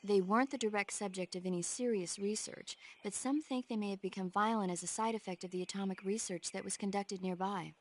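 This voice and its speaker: A woman plays an earnest, easily exasperated female advisor briefing a soldier in a video game. Her voice sits at a middle pitch and explains things clearly and informatively, with faint noise behind it. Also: fairly quick, hardly any pauses, close to an everyday voice. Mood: informative, cautioning.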